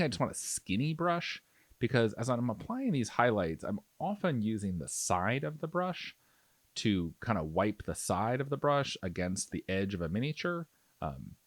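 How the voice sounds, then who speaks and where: A man's voice: mid-range voice, dry recording. A man giving a casual tutorial voice-over as a hobby painting instructor, explaining in a conversational, enthusiastic way.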